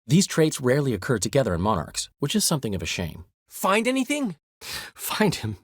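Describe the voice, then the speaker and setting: A flamboyant, vain bard, theatrical and wry as he boasts and teases his friends. His pitch swings in a lively way, with a slightly husky grain. The recording is dry and close, with no room sound. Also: a little fast, no room sound. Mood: showy. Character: flamboyant male bard, vain, charming, talkative. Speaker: game character. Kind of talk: game dialogue voice acting.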